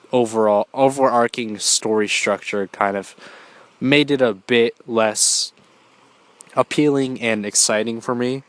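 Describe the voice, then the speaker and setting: A man's voice: on the low side, clear, resonant tone, dry recording. A man hosting his own show, talking casually through a movie review while congested from a cold, rambling with lots of fillers and the odd swear. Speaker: podcast host.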